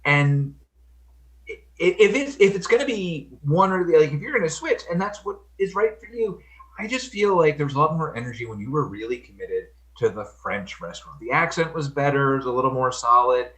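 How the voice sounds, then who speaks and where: A man's voice: on the high side, very animated, fairly quick, fairly bright tone, rough recording. A man improv performer talking earnestly and a little hesitantly over a video call. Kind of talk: improvised scene and casual chat.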